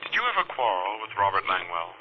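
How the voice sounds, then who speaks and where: Rapid-fire filtered interrogator. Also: male voice, low-pitched, big pitch swings. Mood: accusing. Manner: formal, accusing questioning. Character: stern imagined police interrogator, male. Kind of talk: 1940s radio horror melodrama acting. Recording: scratchy, noisy, phone-like 1940s radio recording.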